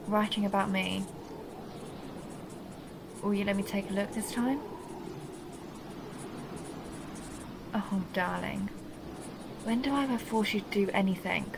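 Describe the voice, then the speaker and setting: A woman's voice: medium pitch, gravelly, background noise. A woman playing a seductive, possessive vampire countess who teases and condescends in an intimate audio roleplay monologue. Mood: coaxing, seductive. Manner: teasing, mocking, intimate purring address.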